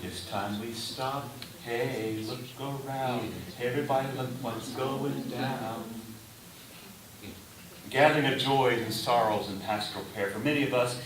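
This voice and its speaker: Guy preaching a sermon, short careful phrases w pauses, mic is far off, faint hiss behind him. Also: medium pitch. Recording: roomy echo, distant mic, faint hiss.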